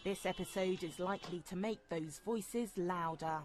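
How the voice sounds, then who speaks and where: A woman news correspondent delivering a measured, formal broadcast news report. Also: on the low side, music in the background.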